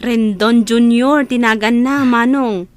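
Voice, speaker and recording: A woman's voice: fairly high pitch, steady tone, slow pace, dry recording. An actress playing a helpful, earnest young woman in an Ilocano audio drama. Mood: warm, reassuring. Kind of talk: Ilocano radio-style audio drama acting.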